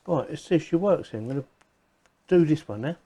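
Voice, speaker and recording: A man's voice: a little high, animated, brisk, dark tone, husky. A man presenting a casual hands-on gadget unboxing, chatting informally and thinking aloud as he goes. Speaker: video presenter reviewing gadgets.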